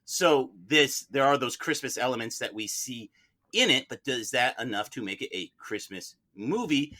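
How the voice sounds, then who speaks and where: A man's voice: mid-range voice, lively intonation, normal speed, pushed and bright. A man arguing as defense counsel in a mock courtroom debate, argumentative and leaning on repeated phrases for emphasis.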